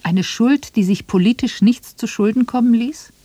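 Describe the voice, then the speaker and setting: A woman's voice: on the high side, moderate pace, warm tone, dry recording. A woman reading a scripted radio essay as a narrator.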